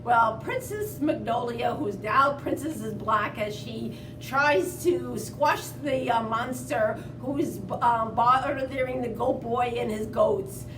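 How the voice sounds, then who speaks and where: A woman, a children's librarian, giving an informal book recommendation in a halting way, pausing mid-word. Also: on the high side, noisy background. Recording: roomy, distant mic, music behind.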